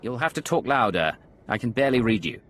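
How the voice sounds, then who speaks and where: A man voicing a cold, controlled secret agent in a video game, measured and clinical. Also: medium pitch, animated, fairly bright tone, a little hiss or hum, close to an everyday voice. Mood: businesslike.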